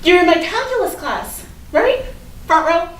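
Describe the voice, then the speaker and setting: A woman's voice: extremely high pitch, sing-song, measured pace, full character voice. A woman playing a popular, defensive teenage schoolgirl in a staged play reading, with chatty, casual, hedging delivery. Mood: breezy, friendly. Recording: echoey room from far off, noisy.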